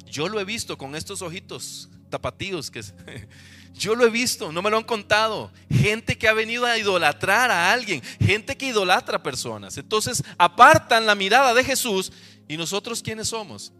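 A man's voice: sits high, big pitch swings, normal speed, sharp tone, faint music behind. A man with a Costa Rican accent preaching a sermon to his congregation, emphatic and full of rhetorical questions. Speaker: pastor.

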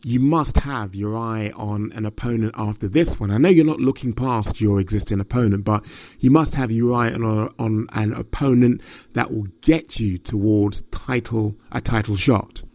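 A man's voice: on the low side, normal speed, darkish tone, no room sound. A man interviewing a fighter, asking long leading questions in slightly formal phrasing. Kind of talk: sports interview.

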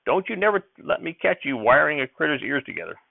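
A man's voice: mid-range voice, racing, vocal fry, dry recording, voice pushed up. Man acting a crotchety old farmer, tinny sound. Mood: furious. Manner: rustic old-time country speech, scolding. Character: crotchety, hot-tempered old farmer grandfather.

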